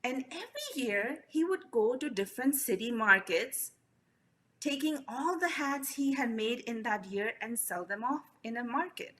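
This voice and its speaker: A woman storyteller whose first language is Urdu, telling children's folk tales with lively expression. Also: fairly high pitch, moderate pace. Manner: animated, expressive, addresses the children. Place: library event.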